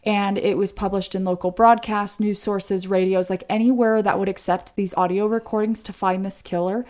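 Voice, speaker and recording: Woman, American accent, podcast co-host, conversational storytelling, warm dark timbre, mid-range pitch. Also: fairly even intonation, moderate pace. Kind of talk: conversational true-crime storytelling.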